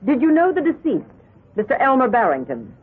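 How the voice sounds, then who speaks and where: A dull, band-limited old radio recording. a female American prosecutor with a very high, husky voice, formal and precise as she questions in 1970s radio drama style. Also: huge pitch swings, a little fast, heavily acted. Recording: muffled old radio broadcast.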